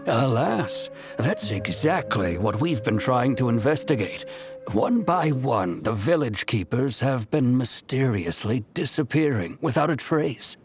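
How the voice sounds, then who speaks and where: Courteous, mid-pitched village chief. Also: male voice, big pitch swings, over music. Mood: troubled. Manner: polite, apologetic, measured. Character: kindly, courteous village chief, called uncle. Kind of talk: video game character dialogue.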